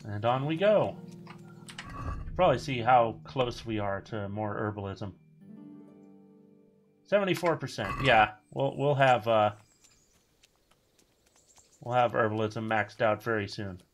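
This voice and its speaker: Man, casual game commentary, chatty asides, mid pitch, soft music in background. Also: a little slow, pauses often. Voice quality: booming voice. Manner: chatty, rambling asides while playing. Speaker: streamer.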